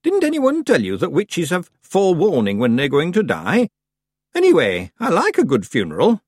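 A man voicing a very old, calm and stern witch woman with a dry, matter-of-fact manner. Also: fairly high pitch, moderate pace, breathy edge, no room sound, voice pushed up, heavily acted.

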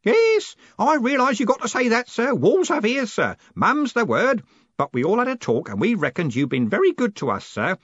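A man's voice: wildly swooping pitch, normal speed, a bit bright, breathy edge, no echo, dull audio, exaggerated voice. A man voicing a sly, cackling old man in an audiobook, eager and deferential, wheedling as he talks. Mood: conspiratorial, defiant. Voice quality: cackling, high-pitched. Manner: deferential, wheedling, often adds "sir". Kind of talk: audiobook character voice.

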